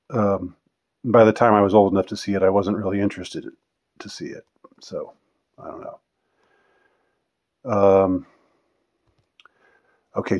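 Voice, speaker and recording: A man in his fifties answering viewer questions in a casual, unscripted monologue, rambling and halting as he lets thoughts trail off. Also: on the low side, a little mellow, lo-fi sound. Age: 50s.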